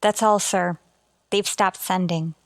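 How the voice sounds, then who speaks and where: An actress playing an earnest communications officer on a starship in a sci-fi radio drama, deferential to a superior. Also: female voice, fairly low pitch, steady tone, takes it slowly, no echo. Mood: anxious, questioning. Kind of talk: golden age sci-fi radio drama acting.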